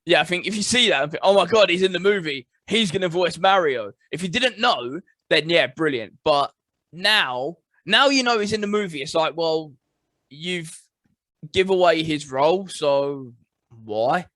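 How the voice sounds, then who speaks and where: A man's voice: fairly high pitch, animated, moderate pace, pushed and bright, no echo. A man giving his opinions plainly in casual conversation as a podcast co-host. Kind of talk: casual chat.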